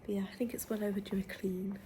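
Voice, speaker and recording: A woman's voice: medium pitch, little pitch movement, fast talker, warm tone, a little hiss or hum. A woman, still under the weather with a cold, chatting casually to her camera and thinking aloud with ums. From United Kingdom. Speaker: self-employed nail tech vlogger. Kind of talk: casual vlog chat to camera.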